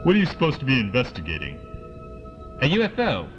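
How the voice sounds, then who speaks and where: A man's voice: medium pitch, huge pitch swings, brisk. A man playing a loyal, curious lieutenant who defers to his superior in an audio drama. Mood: questioning. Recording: dull lo-fi with loud music behind.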